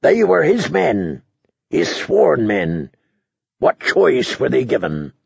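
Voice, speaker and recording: A man voicing a blunt, earnest lowborn knight in an audiobook, with a gravelly, husky voice and emphatic, plain-spoken delivery. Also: huge pitch swings, slow pace, a little mellow, no echo, highs cut off, exaggerated voice. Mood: defiant.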